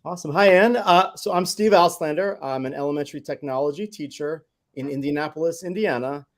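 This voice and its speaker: A middle-aged man, a teacher, chatting in a friendly way on a video call, halting with fillers. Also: fairly high pitch. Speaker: technology teacher, guest. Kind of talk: casual video-call chat. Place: room with bookshelves.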